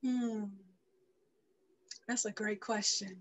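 Thoughtful middle-aged woman, reflective and unhurried. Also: medium pitch, steady tone. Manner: thoughtful, unhurried, pausing mid-phrase. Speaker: interview guest, filmmaker and radio show creator. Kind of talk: reflective interview answer. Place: indoor room, video call. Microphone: headset on video call.

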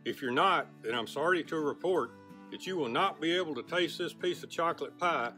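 A man's voice: a little high, fairly quick, pushed and bright, faint music behind. An older man hosting a garden show outdoors, joking with viewers in a teasing, theatrical way, recorded on a lapel mic. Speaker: host. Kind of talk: playful jokey garden show intro.

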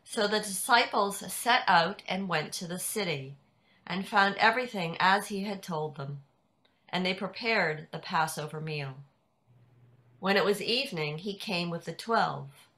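A woman narrating a scripted scripture reading in a deliberate way. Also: on the low side, lively intonation, bright, forceful tone. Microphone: video call.

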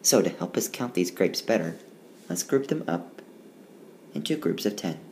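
A male teacher reading a rhyming picture book aloud and walking through the math, recorded as a tablet screencast voice-over. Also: a little hiss or hum. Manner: slow, sing-song rhyming read-aloud with lively pitch.

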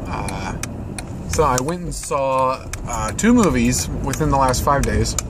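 A man's voice: medium pitch, lively intonation, slow. A man in his twenties with an American accent, chatting casually and rambling as he records a vlog while driving. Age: 20s. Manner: rambling, informal, mildly profane. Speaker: vlogger. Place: inside a moving car. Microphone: camera on the dashboard. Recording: loud car road noise.

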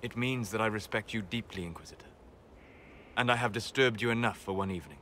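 A man playing a calm, reserved, scholarly elf mage in a video game, speaking formally in deliberate, measured phrases. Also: lowish voice, a little hiss or hum. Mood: earnest, thoughtful. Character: calm, scholarly, reserved elven mage, admiring yet condescending. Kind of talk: video game dialogue acting.

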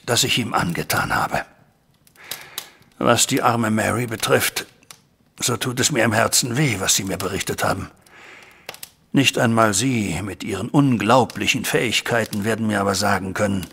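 An older gentleman in an audio drama, a troubled father and formal client. His voice is gravelly and forceful, with a husky edge and emphatic phrasing. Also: on the low side, exaggerated voice. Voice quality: gravelly, raspy. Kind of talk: German audio drama acting.

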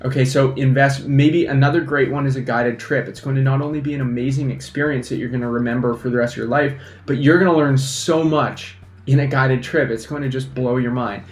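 A young man, a fly fishing instructor, giving energetic casual advice to camera at a desk microphone, often checking in with "right?". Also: medium pitch, brisk, hardly any pauses, roomy sound. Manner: energetic, animated hand gestures, lots of "right?". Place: small indoor studio against a plain backdrop. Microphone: desk microphone in front.

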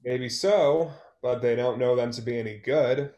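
A man's voice: medium pitch, measured pace, warm tone, close to an everyday voice. A young man playing a bitter, heartbroken songwriter, curt and guarded, in a play read over video call. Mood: resentful. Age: young adult. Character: Liam, bitter, heartbroken male songwriter, guarded toward former love. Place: plain-walled room at home, indoors.

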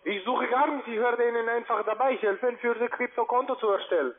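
A man with a foreign accent giving a halting, formal phone sales pitch in broken, non-native phrasing. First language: not German. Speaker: cold-calling account manager. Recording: tinny, band-limited phone line.

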